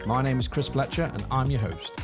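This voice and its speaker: A man hosting a podcast, chatty and energetic, laughing often as he interviews a guest. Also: mid-range voice, a little fast, music in the background. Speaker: podcast host. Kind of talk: casual interview.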